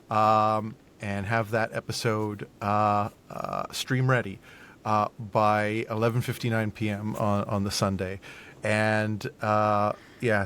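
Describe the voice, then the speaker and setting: A man chatting as an interview guest, explaining in a halting way and often repeating his words. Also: on the low side, a bit bright, a little hiss or hum. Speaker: audio drama festival organizer, podcast guest. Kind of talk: casual interview chat.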